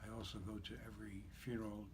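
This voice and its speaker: A man in his seventies with a lowish voice, explaining things in a steady, businesslike manner. He's a guest on a radio show, and there's a clear hiss of noise under the broadcast. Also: fast talker. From born in Czechoslovakia, raised in New York. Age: 70s. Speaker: guest, cemetery broker. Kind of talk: radio interview.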